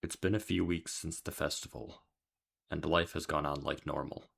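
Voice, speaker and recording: A man's voice: very deep voice, steady tone, normal speed, gravelly, a bit bright, husky. A man reading scripted storytelling narration as he reviews a video game. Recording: music under the voice. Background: background music.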